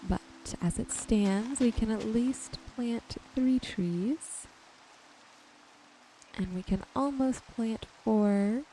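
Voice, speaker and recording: A woman narrating her gameplay in an ASMR style, with a gentle, soothing manner. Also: a little high, a little hiss or hum, poor audio. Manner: gentle, unhurried, soothing narration of own actions. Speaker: host of a gaming channel. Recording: music behind the voice. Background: music.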